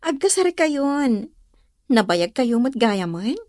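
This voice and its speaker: A nosy, talkative woman in an Ilocano radio drama. Her voice sits fairly high and swings widely in pitch, recorded close and dry. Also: big pitch swings, slow, darkish tone, no echo. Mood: teasing.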